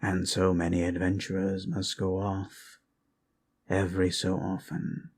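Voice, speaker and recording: A man voicing an ancient, weary undead lich who tells history in a hushed, solemn, gravelly voice for an ASMR roleplay. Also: low voice, completely flat delivery, a little hiss or hum. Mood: grave, reminiscing. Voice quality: gravelly, creaky. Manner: measured, hushed, solemn, with long pauses. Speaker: storyteller. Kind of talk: ASMR roleplay, slow improvised fantasy history lesson.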